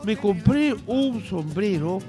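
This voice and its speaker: A man's voice: sits high, animated, takes it slowly, music in the background. A man playing a glum, complaining football fan. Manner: mock-mournful complaining, listing purchases. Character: dejected middle-aged male French football fan.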